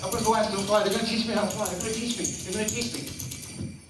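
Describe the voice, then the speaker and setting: A man's voice: high-pitched, moderate pace, close to an everyday voice. A man voicing an eager little male turtle who longs to fly, pleading and repeating himself. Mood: excited. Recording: echoey room, distant mic, faint noise.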